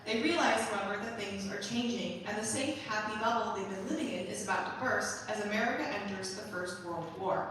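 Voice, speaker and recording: A woman composer giving a prepared, measured and articulate explanation of her own musical work. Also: mid-range voice, a bit bright. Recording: echoey hall, distant mic, faint noise.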